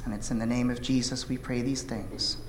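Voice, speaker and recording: A man in his thirties from South Korea, whose first language is Korean, preaching a sermon with an earnest, measured delivery and frequent "uh" fillers. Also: medium pitch, fairly even intonation. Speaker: pastor. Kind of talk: sermon with opening prayer. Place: church sanctuary. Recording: echoey hall reverb, faint room noise.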